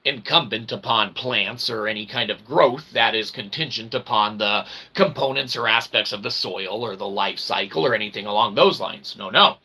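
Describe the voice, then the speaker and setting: A man's voice: lowish voice, normal speed, hardly any pauses, sharp tone, husky. A man giving a rambling, tongue-in-cheek lecture in wordy mock-academic phrasing, checking in often with small tags. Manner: wordy mock-academic phrasing with frequent "all right, okay" check-ins. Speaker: online philosophy show host.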